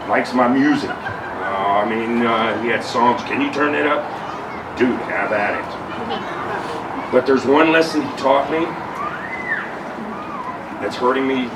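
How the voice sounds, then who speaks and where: Loud-voiced middle-aged man, blunt and rambling memorial speaker; distant mic, roomy echo. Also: mid-range voice, slow, pauses often. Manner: rambling, blunt, swears freely. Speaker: eulogy speaker. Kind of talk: unscripted memorial tribute. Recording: echoey room, mic far off, loud noise.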